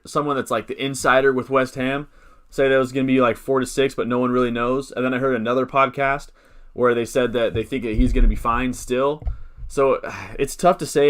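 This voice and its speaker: Chatty man in his late twenties. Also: mid-range voice. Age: 20s. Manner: rambling, thinks aloud with many restarts and fillers; chats with viewers, gives shout-outs. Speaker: fantasy football streamer. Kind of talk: casual live stream chat.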